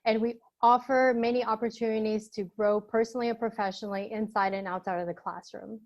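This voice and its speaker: A woman's voice: on the high side, fairly even intonation, brisk, a little mellow, lo-fi sound. Woman, Albanian native speaker, upbeat, presenting, video call audio. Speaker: admissions counselor. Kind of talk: webinar presentation.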